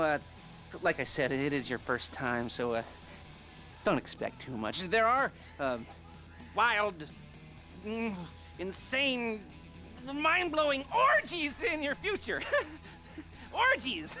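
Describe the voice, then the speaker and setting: An actor playing an awkward, creepy, nerdy middle-aged heist planner in a video game, talking in a halting, rambling way with nervous chuckles. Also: male voice, high-pitched, pushed and bright, heavily acted. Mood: excited, leering. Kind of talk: video game cutscene acting.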